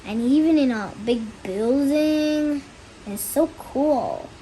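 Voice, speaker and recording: A woman's voice: sits high, slow, mellow, a little hiss or hum, poor audio. A young girl reading a picture book aloud and explaining the pictures. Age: child.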